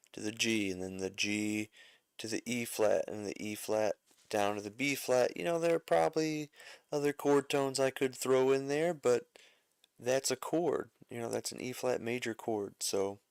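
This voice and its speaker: Man, arranging teacher, slow and unhurried, gravelly low voice, thinks aloud with fillers, dry close mic. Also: dry recording. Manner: thinks aloud, many fillers and self-corrections. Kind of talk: casual screen-share music lesson.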